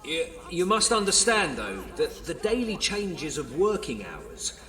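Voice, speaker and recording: A man's voice: fairly high pitch, very animated, unhurried, a bit bright, a faint crowd. An actor playing a defensive, placating male police official with an English accent in an audio drama. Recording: reverberant hall, distant mic.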